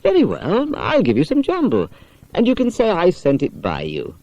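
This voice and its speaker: A man voicing a kindly, practical mother in a storybook, brisk and instructive. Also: high-pitched, huge pitch swings, dark tone, no room sound, dull audio, pitched above the performer's own voice, exaggerated voice.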